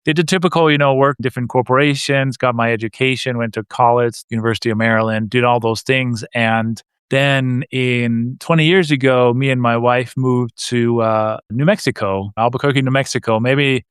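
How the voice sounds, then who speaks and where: A man in his fifties from Denmark with a slight Danish accent, a guest answering interview questions in a measured, friendly way. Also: medium pitch, steady tone, a bit bright, smooth, no room sound, clean studio sound. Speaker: guest, real estate investor.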